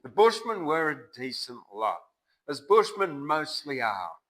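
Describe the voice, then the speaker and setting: An Australian man reciting a bush ballad theatrically, swinging between loud emphasis and hushed line endings. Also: a little high, sing-song, measured pace. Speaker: poetry reciter and channel host.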